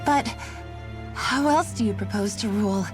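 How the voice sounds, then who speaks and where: An actress playing a proud, doubtful young highborn woman in a game, earnest and questioning in manner. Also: moderate pace, music in the background, lightly acted. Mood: softening.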